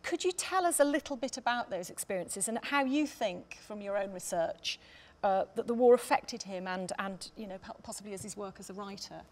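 A woman in her forties with a medium-pitched voice moderates a discussion on stage. She builds her questions tentatively and at length, circling toward the point. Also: animated, brisk. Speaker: panel chair. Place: theatre stage, indoors.

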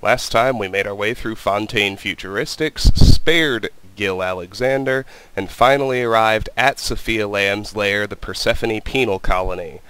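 A man hosting a let's play, calmly talking through the game and reading its text aloud, his voice a little weak from a cold. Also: lowish voice, measured pace, few pauses, smooth, no echo, low-quality recording. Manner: calm, methodical, reads in-game text aloud. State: has a cold, voice slightly weak. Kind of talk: casual gameplay commentary. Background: game music.

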